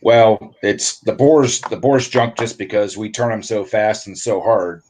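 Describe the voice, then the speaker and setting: A man, a guest on a livestream, explaining engine work in a relaxed way with lots of fillers. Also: fairly low pitch, a little slow. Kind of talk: casual technical chat.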